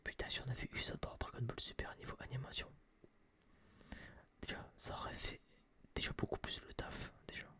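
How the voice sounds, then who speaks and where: A young man whispering close to a binaural microphone in a casual, rambling, blunt ASMR review style, with a breathy, unvoiced voice. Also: normal speed, long pauses, pushed and bright. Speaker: ASMR channel host reviewing a film.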